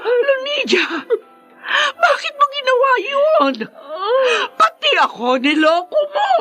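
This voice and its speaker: An actress playing an outraged, melodramatic mother in a radio drama, shrill and wailing. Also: big pitch swings, slow, music in the background, exaggerated voice. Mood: tearful shock. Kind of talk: Tagalog radio drama acting.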